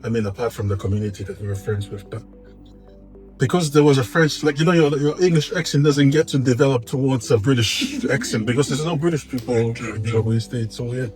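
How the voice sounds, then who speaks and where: A man's voice: medium pitch, a little fast, soft background music. Man with a thick Tongan accent. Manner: relaxed, reflective, some hesitations. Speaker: guest. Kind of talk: casual conversational interview.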